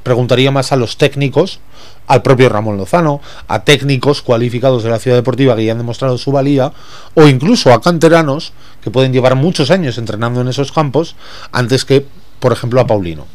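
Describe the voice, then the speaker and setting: A man's voice: medium pitch, fairly quick. A man, talking as a sports pundit in a casual panel, blunt and opinionated.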